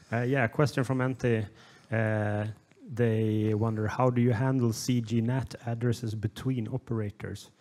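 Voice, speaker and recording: A man's voice: medium pitch, fairly even intonation, unhurried, hardly any pauses, a little mellow. A man relaying audience questions as moderator at a conference. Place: conference room, indoors.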